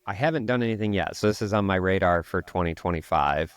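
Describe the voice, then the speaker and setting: Low-voiced guy co-hosting a podcast, walks through stuff step by step, dry close sound. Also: fast, smooth, no echo. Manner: explains step by step, thinking aloud. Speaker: podcast co-host. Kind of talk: casual marketing podcast chat.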